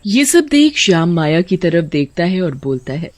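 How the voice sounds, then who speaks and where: A woman narrating a scripted story in an expressive storybook style. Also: on the low side, normal speed, dry recording.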